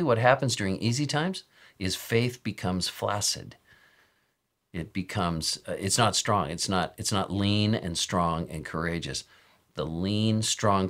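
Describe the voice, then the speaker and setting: Low, slightly raspy older American pastor. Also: male voice, a bit bright. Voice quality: a little raspy. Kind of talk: informal sermon teaching. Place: office or study with bookshelves, indoors.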